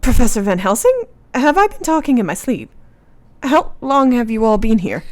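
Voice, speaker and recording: An actress playing an earnest, brave young gentlewoman in a radio play, with formal period phrasing. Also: fairly high pitch, sing-song, moderate pace. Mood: surprised. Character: earnest, brave young married gentlewoman under a vampire's curse.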